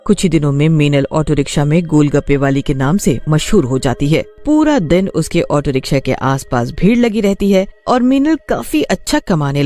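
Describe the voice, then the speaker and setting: A woman's voice: deep voice, mellow, no room sound. A woman giving scripted narration of a cartoon folk tale.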